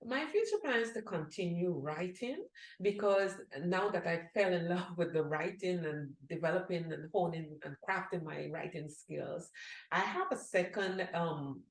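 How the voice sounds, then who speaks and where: A woman's voice: lowish voice, unhurried. Earnest woman from Guyana, echoey room. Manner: earnest, reads a book blurb aloud. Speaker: author and guest. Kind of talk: interview answers.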